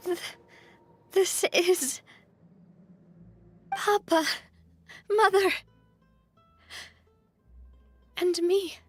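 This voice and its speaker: A woman playing an earnest, expressive young leader in a video game, easily flustered, with music behind her. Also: very high-pitched, extremely slow, no room sound, full character voice. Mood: moved, hesitant. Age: young adult. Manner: expressive, earnest, hesitant when moved.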